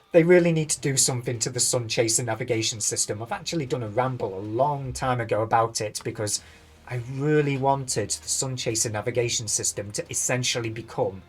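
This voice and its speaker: British gamer, hoarse and husky, reads patch notes and wanders off, middle-pitched. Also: male voice. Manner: rambling, thinks aloud, loses his words. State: tired, voice going hoarse. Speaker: gaming YouTuber. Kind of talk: reading patch notes with casual commentary.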